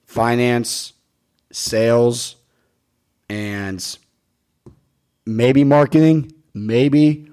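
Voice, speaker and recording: A man's voice: mid-range voice, fairly even intonation, drawn-out words, pauses often, mellow, clear, resonant tone. A man in his twenties hosting a casual podcast, rambling with lots of restarts and casual swearing. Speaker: podcast host.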